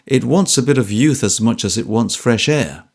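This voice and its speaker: A man's voice: medium pitch, lively intonation, moderate pace, a little mellow. A man voicing a big, composed ex-soldier with blunt, dry speech.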